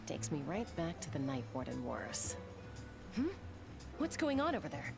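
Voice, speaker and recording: Low-voiced cool female gunslinger. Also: huge pitch swings, normal speed, creaky, loud music behind the voice, exaggerated voice. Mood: concerned.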